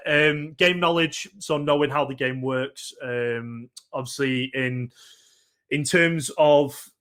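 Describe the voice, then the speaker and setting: A young man from Manchester with a Mancunian accent, giving a casual workshop talk on getting into esports. He pauses often with ums and repeats words as he thinks. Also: mid-range voice, a bit bright. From Northern England, Manchester.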